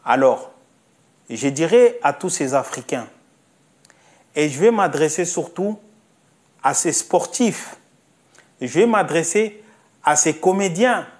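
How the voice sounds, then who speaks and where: A man's voice: on the high side, lively intonation, slow pace, pauses often. A man delivering a spoken opinion monologue, insistent, repeating himself and asking rhetorical questions. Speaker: commentator.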